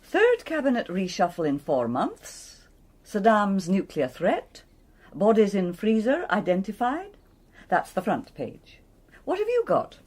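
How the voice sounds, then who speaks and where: An actress playing an affectionate, teasing, well-bred middle-aged wife in a radio drama. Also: female voice, mid-range voice, wildly swooping pitch, a little slow. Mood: probing, light. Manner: gently teasing, coaxing. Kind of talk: naturalistic British radio drama acting.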